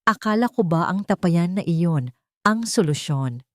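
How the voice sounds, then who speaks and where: A woman narrator voicing a cold, sharp-tongued, incredulous woman challenging her partner in an audio story. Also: on the low side, expressive, a little slow, no room sound, studio quality.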